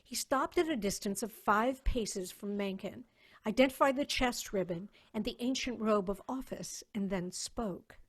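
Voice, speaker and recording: A woman's voice: medium pitch, measured pace. A woman reading scripted story narration in a dramatic style, as the narrator.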